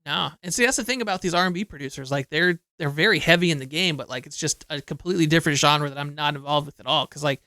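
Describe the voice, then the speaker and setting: Bright, crisp-voiced male podcast co-host. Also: fairly high pitch, no echo, studio quality. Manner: easygoing, agreeable, quick to laugh. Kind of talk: casual music chat.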